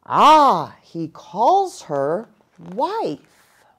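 A woman voicing a stern old buffalo chief in a storybook, proclaiming gravely and deliberately. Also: mid-range voice, huge pitch swings, full character voice. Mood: solemn proclaiming.

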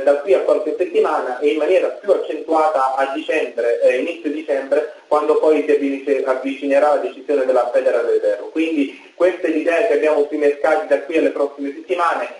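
A young man giving measured financial market commentary, with frequent hesitations, heard over a video call. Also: fairly high pitch, a little mellow. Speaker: market analyst guest. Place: office, on a remote video link. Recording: roomy video call audio.

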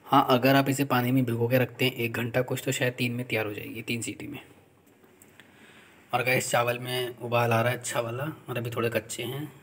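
A man's voice: medium pitch, level tone, brisk, a bit bright. A man casually talking viewers through cooking in a small home kitchen, explaining each step as he goes. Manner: chatty, explains steps to viewers as he cooks. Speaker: vlogger.

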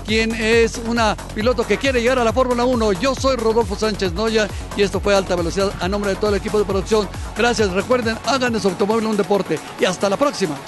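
A middle-aged man with a Mexican Spanish accent hosting a TV sports interview outdoors with a handheld mic, enthusiastic and exclamatory. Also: sits high. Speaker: host. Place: outdoors by a fountain. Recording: music bed under voices.

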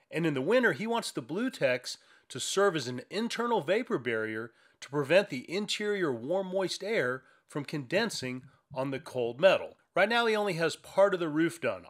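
Lively man pitching insulation. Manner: upbeat, salesy and explanatory. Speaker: company presenter. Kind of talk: voice-over product explainer.

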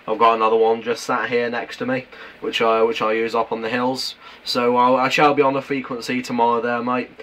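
A British man in casual two-way radio chat, halting and matey, using operator jargon. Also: mid-range voice, flat delivery, a bit bright. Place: at home beside a CB radio set. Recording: noisy room with radio hiss.

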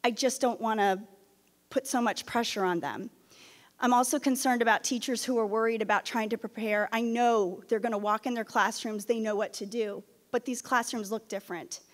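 A woman's voice: a little high, steady tone, normal speed. A woman school board member speaking in a worried, heartfelt way at a desk microphone in a boardroom. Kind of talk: statement at a board meeting. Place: boardroom, indoors. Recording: boardroom with long reverb.

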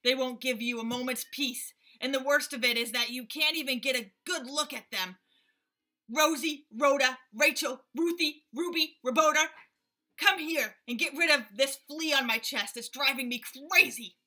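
Woman doing a loud bossy gorilla. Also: high-pitched, moderate pace, voice pushed up. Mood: exasperated. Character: huge fierce flea-bitten male gorilla.

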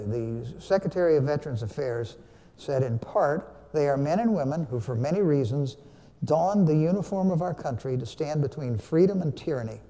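A man's voice: medium pitch, dark tone. Older man teaching in a reverberant sanctuary. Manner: conversational, teacherly, asks the audience questions. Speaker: church speaker, veteran. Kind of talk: Veterans Day sermon talk with questions to the congregation. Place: large church sanctuary, standing before pulpit. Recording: long hall reverb.

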